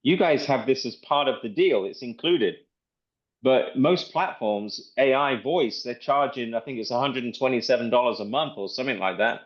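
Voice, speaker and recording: A man's voice: mid-range voice, muffled recording. A man giving a casual, rambling sales presentation, explaining things in a repetitive way. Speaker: presenter demonstrating software.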